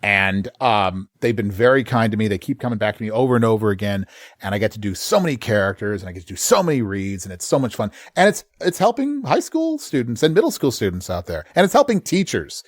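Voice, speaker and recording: A man, a voice actor, chatting casually in an interview and telling stories with lively quick voice changes. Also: lowish voice, dry recording, clean studio sound. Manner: animated storyteller, slips into quick voices. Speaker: voice actor guest.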